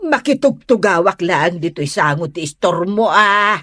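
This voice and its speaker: A woman's voice: lowish voice, lively intonation, normal speed, fairly bright tone, no echo, clean studio sound. A woman playing a gossipy, nosy neighbour who grumbles in a catty drawl in a comedy radio drama. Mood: indignant.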